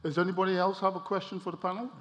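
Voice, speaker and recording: A man moderating a conference panel, summing up points in a measured way with small repeats. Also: sits high, flat delivery, a bit bright. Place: conference hall. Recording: long reverb, slightly dull sound.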